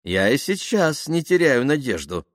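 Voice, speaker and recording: A man voicing an eager, touchy woman who dreams of acting, in a gushing, expressive storybook manner. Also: mid-range voice, moderate pace, dry recording, studio quality, pitched above the performer's own voice. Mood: hopeful, earnest. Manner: gushing and expressive, easily offended.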